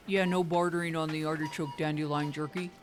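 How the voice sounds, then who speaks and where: A woman voicing a flat, unbudging bulk food store clerk with a deadpan monotone in an improvised comedy audio drama. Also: sits low, brisk, pitched below the performer's own voice, natural voice. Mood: bored, firm.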